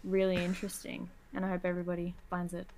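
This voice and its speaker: A woman's voice: on the low side, monotone, fast talker, darkish tone, slight background noise. A woman with an Australian accent chatting casually as a podcast guest, talkative and giggly.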